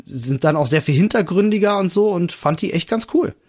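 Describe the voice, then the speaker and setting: A male podcast host with a somewhat high voice. He talks casually and wanders through his explanations, piling clause onto clause in an informal chat style. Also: lively intonation, brisk, a little mellow.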